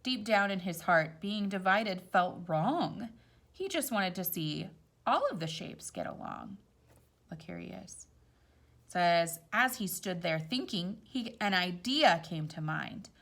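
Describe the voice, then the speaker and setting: Woman, storytime reader, very lively intonation, expressive children's read-aloud, explanatory asides. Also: fairly low pitch, slow pace, creaky. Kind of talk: animated children's picture-book read-aloud with casual asides.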